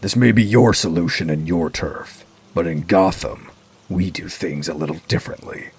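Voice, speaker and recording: A man playing a grim, commanding masked vigilante in a comic book dub, terse and threatening in a gravelly, husky growl. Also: low voice, moderate pace, a little hiss or hum. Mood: stern warning.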